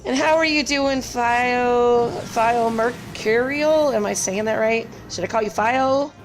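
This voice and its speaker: A woman's voice: a little high, slow pace, faint music behind. A woman live-streaming a game and chatting casually with viewers, her voice strained and scratchy, apologetic and full of fillers.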